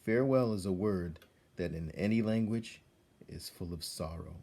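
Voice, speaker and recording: A man reading a children's story aloud as narrator, expressive and measured, with dramatic delivery. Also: low voice. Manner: measured, dramatic, addresses the listener.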